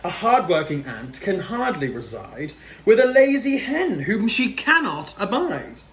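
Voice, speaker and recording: A man's voice: high-pitched, big pitch swings, measured pace, fairly bright tone, roomy sound, distant mic, pitched above the performer's own voice, full character voice. A man voicing a judgmental, hard-working female ant from a storybook, haughty and dismissive. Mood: haughty, nasty.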